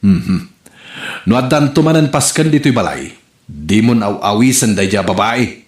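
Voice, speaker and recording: A man's voice: fairly low pitch, animated, normal speed, close to an everyday voice. A man playing a disgruntled village husband in an Ilocano comedy radio drama, with an Ilocano accent. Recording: roomy echo, music underneath. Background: music.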